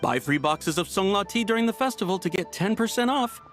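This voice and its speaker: A man's voice: high voice, expressive, brisk, fairly bright tone, soft background music. A man voicing an ingratiating tea shop vendor in a video game, polite and nervous, with a stammering delivery. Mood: sales pitch.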